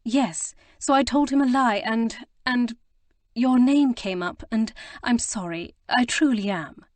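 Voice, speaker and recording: Woman voicing a flustered young gentlewoman. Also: fairly high pitch, slow, no room sound, voice pushed up. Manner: breathless, stammering, eager to explain. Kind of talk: audiobook character reading.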